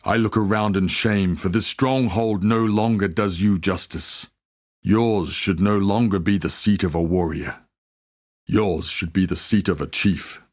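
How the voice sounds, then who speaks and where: A low-voiced, proud warrior of a tribe for a video game. He is solemn and devout, bound by honour. Every phrase is delivered gravely and deliberately, like formal oratory. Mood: solemn reverence. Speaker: video game character voice actor. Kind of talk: scripted dramatic game dialogue.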